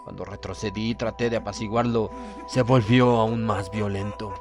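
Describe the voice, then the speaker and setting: A man narrating a horror audiobook in the first person, reading solemnly and deliberately with theatrical emphasis. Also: fairly low pitch, fairly even intonation. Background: music.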